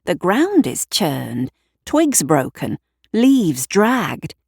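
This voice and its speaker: A woman's voice: lowish voice, big pitch swings, extremely slow, a little mellow, slightly rough, no echo, clean studio sound. A woman narrating a scripted story in a wry, descriptive manner. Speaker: writer and narrator.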